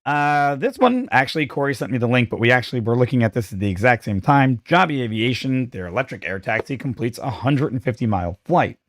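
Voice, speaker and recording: A man's voice: mid-range voice, lively intonation, a little fast, hardly any pauses, dry recording. Brief: male co-host, voice worn out this week, opinionated and chatty, dry sound. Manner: opinionated, chatty, self-deprecating. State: losing his voice. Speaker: podcast co-host. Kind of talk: casual chat.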